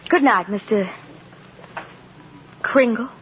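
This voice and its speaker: A woman's voice: a little high, slow. An actress playing a polite, reserved working mother in a 1950s radio drama. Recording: muffled, very noisy old radio broadcast.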